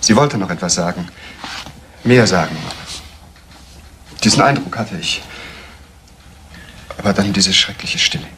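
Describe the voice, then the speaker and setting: A man's voice: low voice, a little slow, long pauses, fairly bright tone, a little hiss or hum. A man playing a shaken, disbelieving husband of a murdered woman in a German crime radio play, on an old recording.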